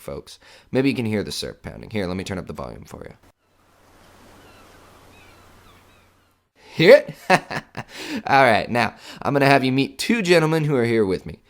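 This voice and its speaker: A man playing a chatty radio host who hunts ghosts, folksy and showman-like, with jittery bravado in an old-time broadcast style. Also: on the low side. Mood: excited showmanship. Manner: folksy, showman-like, nervously jokey. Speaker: radio host in an audio drama.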